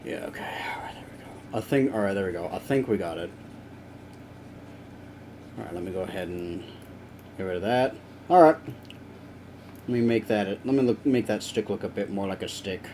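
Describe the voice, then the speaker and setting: A young man with a lowish voice rambles through a casual live stream, chatting with viewers as he works. He drops the odd curse without fuss. A faint hiss sits under the recording. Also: expressive, moderate pace, long pauses, a little mellow. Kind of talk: casual live stream chat while drawing.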